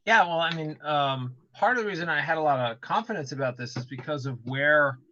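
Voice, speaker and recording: A man's voice: fairly high pitch, fairly quick, sharp tone, highs cut off, slight background noise. A man speaking casually as a game developer on a panel, thinking aloud and illustrating his points with quoted asides. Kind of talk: casual explanatory panel talk.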